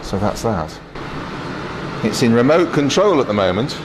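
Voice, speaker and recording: Male engineer, mid-pitched, casual hands-on technical walkthrough, matter-of-fact, recorded amid clear ventilation and equipment noise. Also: sing-song, moderate pace. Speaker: transmitter engineer giving a station tour. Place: indoors in a transmitter hall. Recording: clear machinery and air-cooling noise.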